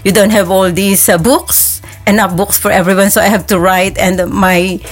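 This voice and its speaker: A woman's voice: mid-range voice, steady tone, moderate pace, soft background music. Filipino woman, reflective guest. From Philippines. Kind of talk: relaxed interview chat.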